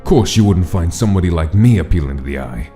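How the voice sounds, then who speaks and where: Guy doing a low rough skeleton gangster, creepy flirty taunting, dark tone, music under it. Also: animated, quick pace. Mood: bitter, sneering. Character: menacing male skeleton mobster, mockingly flirtatious. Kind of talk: dramatic comic dub acting.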